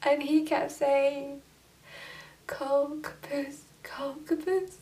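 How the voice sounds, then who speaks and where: A young woman playing a guilt-ridden young woman who confesses in a hushed, halting voice, recorded from a distance. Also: sits high, level tone. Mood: grieving. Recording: distant camera mic.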